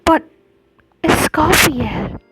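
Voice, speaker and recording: An actress playing a gentle, worried young woman in a video game, speaking earnestly. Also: high-pitched, expressive, extremely slow, loud music behind the voice, full character voice. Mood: alarmed. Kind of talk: video game character acting.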